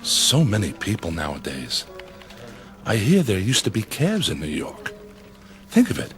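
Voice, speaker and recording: An actor playing a meek, nervous office worker in a radio drama, hesitant and deferential. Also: male voice, lowish voice, wildly swooping pitch, measured pace, over music. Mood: mild, chatty. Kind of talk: old-time radio style drama acting.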